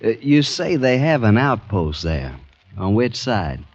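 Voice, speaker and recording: Deep-voiced genteel gentleman. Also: sing-song. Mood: courteous, curious. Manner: measured, probing, genteel. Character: courteous Southern gentleman planter, quietly scheming civilian. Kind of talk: 1940s-50s radio acting. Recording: dull vintage radio recording.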